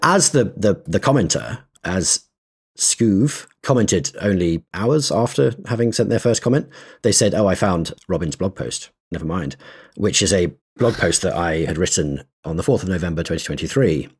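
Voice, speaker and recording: Deep husky man explaining. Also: lively intonation, normal speed. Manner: explanatory, thinks aloud with frequent restarts and small laughs. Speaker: podcast co-host. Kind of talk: casual conversational podcast.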